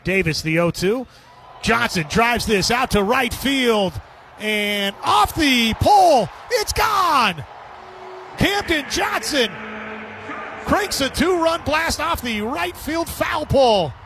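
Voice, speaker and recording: High-pitched male baseball play-by-play announcer. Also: animated, slow, vocal fry, bright, forceful tone, raised voice, slight background noise. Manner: describes the action in short clipped phrases. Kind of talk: live sports commentary.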